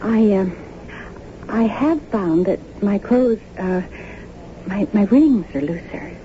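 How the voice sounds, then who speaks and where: A woman's voice: mid-range voice, lively intonation, slow. A woman acting in a radio drama as an anxious, bewildered young wife, speaking hesitantly and uneasily. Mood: nervous. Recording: tinny, narrow-band, noisy old radio broadcast.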